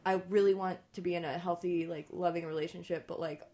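A woman's voice: lowish voice, steady tone, fast, warm tone. A young adult woman guest on a radio show, talking candidly and sarcastically, rambling with lots of fillers. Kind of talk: casual chat.

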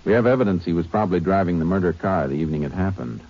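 Deep-voiced stern Texas Ranger. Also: male voice, darkish tone. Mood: firm. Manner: terse, firm, measured. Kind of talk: 1950s radio acting. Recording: dull old radio recording with hiss.

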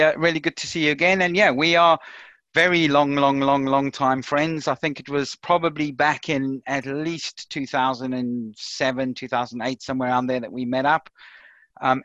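A man's voice: fairly high pitch, bright, forceful tone, no room sound. A middle-aged man with a South African accent, talking loosely as a podcast guest, full of fillers and repeated words. The recording is dry and a little dull. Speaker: podcast guest, software consultant. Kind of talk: casual interview chat.

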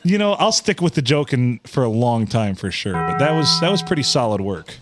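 Teasing man with a lively voice. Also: mid-range voice, a little fast, loud music behind the voice. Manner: teasing, laughs often. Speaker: podcast co-host. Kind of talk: crude casual banter.

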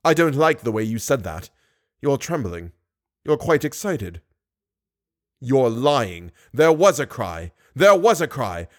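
A man's voice: medium pitch, sing-song, slow pace. A man voicing a furious, possessive male monster who taunts and demands in an audiobook story. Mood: suspicious, angry.

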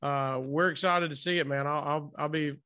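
A man's voice: fairly high pitch, fairly even intonation, moderate pace, sharp tone, smooth. A man hosting a podcast interview in a casual, enthusiastic, informal way. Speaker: podcast and radio host interviewer. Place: hotel room, remote call.

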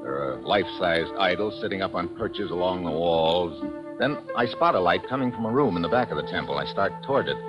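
A man's voice: low-pitched, animated, brisk, muffled recording. A man with an American accent acting a brusque, wisecracking secret agent in a 1950s radio drama. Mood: tense narration. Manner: brusque, sardonic, clipped questioning. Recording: 1950s radio recording with music.

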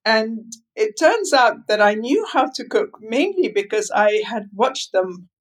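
A woman's voice: on the high side, very animated, measured pace. A woman in her seventies from Lebanon, talking at length as an interview guest, meandering through her answers with many fillers and restarts. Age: 70s. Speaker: guest, food writer. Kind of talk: interview over a call.

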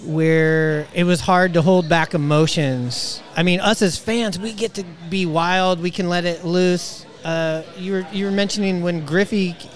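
A man's voice: sits high, fairly even intonation, unhurried, few pauses. A man hosting a podcast, asking questions in a casual, friendly way. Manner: friendly, laughs often, asks leading questions. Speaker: podcast host. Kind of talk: casual interview chat.

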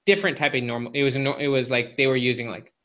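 A man's voice: medium pitch, steady tone, racing, a bit bright. A man speaking as a guest psychologist in a conversational interview, explaining informally with lots of filler words like "like" and "you know".

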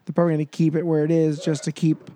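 A man's voice: a little high, monotone, very fast, mellow, slight background noise. A man in his twenties hosting a casual podcast, talking loosely and laughing easily. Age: 20s. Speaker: podcast host.

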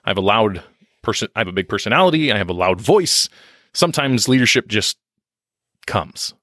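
A man's voice: lowish voice, sing-song, a little fast, fairly bright tone, no room sound, studio quality. A man talking candidly in a podcast interview, reflective and self-deprecating, often restarting his sentences. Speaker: podcast guest on leadership and success.

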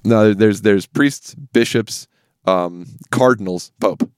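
A man's voice: lowish voice, slow, darkish tone, smooth, dry recording, studio quality. An American man in casual conversation, explaining things and carefully qualifying his own points. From US South.

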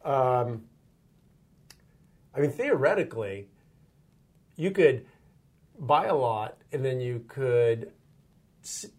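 A man's voice: mid-range voice, animated, slow, pauses often, a little mellow. Curious male interviewer thinking aloud. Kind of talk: conversational interview.

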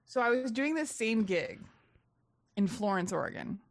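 A young adult woman, a stand-up comedian, chatting casually on a podcast and telling gossipy road stories with lively animation. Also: fairly high pitch, normal speed, no echo, clean studio sound. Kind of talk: casual podcast chat, storytelling.

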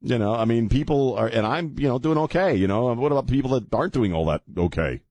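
A man's voice: mid-range voice, lively intonation, fast, darkish tone, dry recording. A middle-aged man hosting a talk radio show, rambling and sardonic, often restarting his sentences. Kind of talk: casual talk radio monologue. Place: radio studio. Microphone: studio microphone.

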